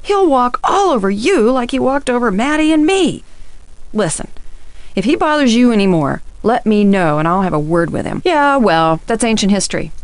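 A woman acting a sharp-tongued, dramatic TV studio insider in a video game, with a snippy, theatrical delivery. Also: medium pitch, no room sound, close to an everyday voice. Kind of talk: acted video game dialogue.

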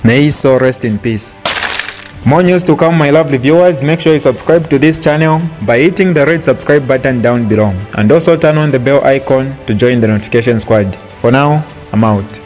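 A man's voice: medium pitch, brisk, darkish tone. Young Zambian male channel host, upbeat intro and news read, light music bed. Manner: upbeat presenter greeting viewers. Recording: faint music behind.

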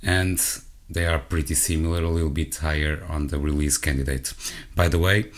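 A man from Portugal with a Portuguese accent, hosting a software walkthrough in English as his second language, hesitant and full of self-corrections, talking straight to his viewers. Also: rumbling low, fairly even intonation, normal speed. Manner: addresses viewers directly. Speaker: tech channel host. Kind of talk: screen-recorded software walkthrough.

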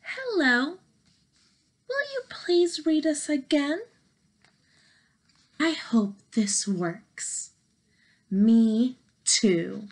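A young woman reading a children's picture book aloud in an expressive, dramatic and playful manner. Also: high-pitched, drawn-out words, long pauses. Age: young adult. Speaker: storybook reader. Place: small bedroom, indoors.

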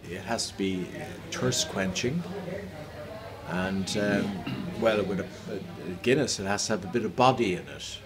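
An older Irish man, a pub regular, explaining the kinds of beer slowly and plainly, recorded on a phone held close in a small pub. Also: lowish voice, music in the background. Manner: slow, explanatory, plain-spoken. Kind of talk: casual interview. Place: indoors in a small, busy pub. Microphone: phone held close to the face.

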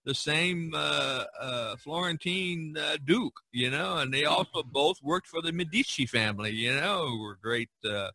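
A man's voice: a little high, a little slow, hardly any pauses, pushed and bright, no room sound. A middle-aged man hosting a podcast, chatting casually and ramblingly about art. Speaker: podcast host.

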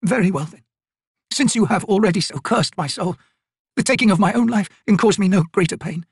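Brief: ancient wisewoman, grieving and bitter, ragged high voice, breathy, dry booth. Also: brisk, no room sound. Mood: resigned. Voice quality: cracked, ragged.

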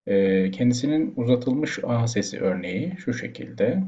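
A man's voice: mid-range voice, lively intonation, normal speed, warm tone, dull audio, slight background noise. A man presenting as a doctor, narrating medical slides in an explanatory way. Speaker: doctor presenting patient voice samples.

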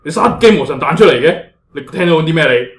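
A man's voice: fairly high pitch, expressive, fast talker. A man playing an exasperated, no-nonsense church leader in a comedic radio drama, blunt and colloquial, with a Hong Kong Cantonese accent.

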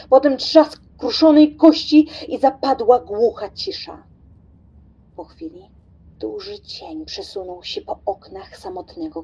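Female narrator for radio drama: mellow, breathy voice, scripted dramatic storytelling. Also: mid-range voice.

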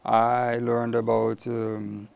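A man's voice: mid-range voice, completely flat delivery, very slow, vocal fry, darkish tone, smooth, no room sound. A middle-aged man from Guyana with a Caribbean accent, giving reflective answers as an interview guest. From Guyana, later Trinidad and Tobago. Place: small indoor TV studio. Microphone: lapel mic.

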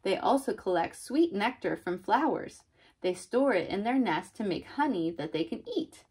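Young female storyteller, husky tone, reads picture books expressively to little listeners. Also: medium pitch, moderate pace. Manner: expressive, child-directed, asking listeners questions. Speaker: mother reading a children's book aloud. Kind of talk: read-aloud picture book storytelling.

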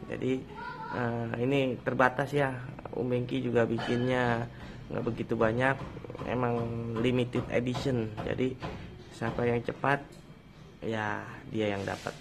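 A man's voice: medium pitch, flat delivery, a little slow, gravelly, pauses often. A middle-aged man from Indonesia, giving a casual tour of his potted fruit trees like a folksy salesman, filmed on a handheld phone in a small outdoor garden. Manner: folksy salesman, refers to himself in third person. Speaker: durian grower showing his trees. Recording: noisy outdoor phone recording.

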